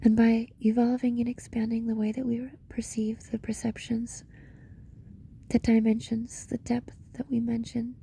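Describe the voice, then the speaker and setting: A woman leading a guided meditation in a reflective monologue, speaking in short, paused phrases with a breathy voice that often slips into a whisper. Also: on the high side, monotone, mellow, slight background noise. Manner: slow, pausing between short phrases.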